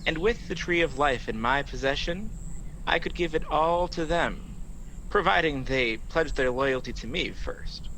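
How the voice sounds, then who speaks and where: A man's voice: a little high, measured pace, fairly bright tone. A man playing a sly, mocking, boastful fallen angel in a radio play, sardonic and theatrical, on a noisy home recording. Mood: smug, persuasive. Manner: smooth-talking, sardonic, theatrical.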